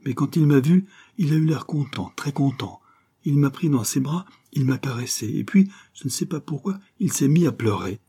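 A man voicing an excited, earnest little boy who talks in simple, insistent phrases in an audiobook story. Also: mid-range voice, normal speed, breathy edge, voice pushed up. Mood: earnest, eager.

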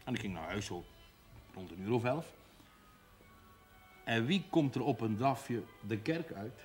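A man plays a village gossip in a period television drama. His voice is middle-pitched and chatty, relishing scandal, with faint music in the background. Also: animated, a little slow, a little mellow, close to an everyday voice. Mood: amused gossip. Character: gossipy village regular, amused and scandalised storyteller.

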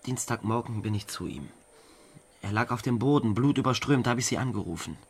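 An actor playing a young small-time crook under questioning in a German radio crime drama, defensive and evasive. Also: male voice, medium pitch, animated, a little fast, slight background noise, lightly acted.

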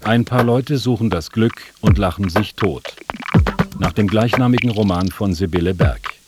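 A man's voice: lowish voice, moderate pace, lightly acted. A man reading short scripted title and chapter announcements for a radio drama. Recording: loud music under the voice.

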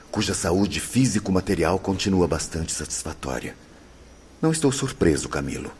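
A very husky, low-voiced male spirit mentor speaks in a radio drama. He is formal and measured, with calm authority. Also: heavily acted.

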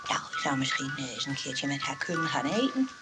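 An actress playing a brisk, protective, sly nursing sister in a comedic radio play, prim and pointed, with a Netherlands Dutch accent. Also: female voice, extremely low pitch, very animated, loud music behind the voice, exaggerated voice. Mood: brisk, helpful.

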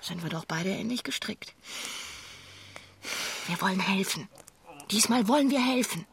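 An actress playing a middle-aged wife, an urgent, persuasive and self-righteous idealist, in a naturalistic radio drama. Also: female voice, medium pitch, expressive, creaky. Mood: eager, persuasive. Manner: insistent, gushing, halting repeats for emphasis.

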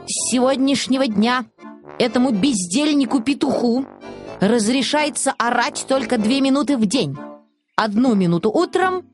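A woman's voice: on the high side, expressive, moderate pace, faint music behind, voice pushed up, close to an everyday voice. A woman voicing a grumpy, stern young male kitten in a children's audiobook. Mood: stern, bossy. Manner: gloomy muttering, stern declaring.

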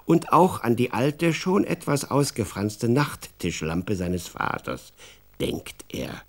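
A man playing an expressive storyteller in a children's radio drama, narrating in a deliberate, dramatic way. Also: mid-range voice, full character voice. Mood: hushed, suspenseful. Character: expressive male storyteller who also talks with the boy.

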